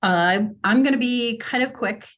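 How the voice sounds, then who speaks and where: A woman's voice: a little high, unhurried, fairly bright tone. A middle-aged woman from the US Midwest, a real estate agent introducing herself on a podcast in a friendly, upbeat way.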